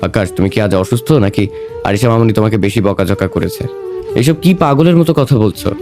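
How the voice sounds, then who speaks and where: A man's voice: on the low side, lively intonation, fast, music in the background. A man playing a fussy, suspicious older male office manager in an audio story drama. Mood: bewildered, concerned.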